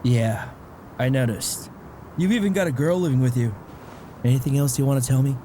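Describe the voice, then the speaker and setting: A man's voice: medium pitch, brisk, noisy background, close to an everyday voice. A man playing a blunt, nosy but caring father in a comic dub, probing and scolding. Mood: teasing. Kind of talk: amateur comic dub voice acting.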